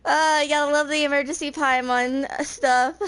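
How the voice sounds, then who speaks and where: A woman in her twenties giving casual, excited reaction commentary, exclaiming and giggly. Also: high voice, slight background noise. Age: 20s. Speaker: reaction video host.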